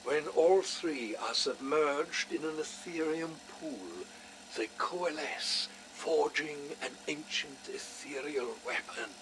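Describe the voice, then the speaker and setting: A man playing a studious, fascinated scientist dictating research notes for a video game, deliberate and erudite. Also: on the high side, a little hiss or hum, rough recording. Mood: intrigued, studious. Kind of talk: scripted video game audio log.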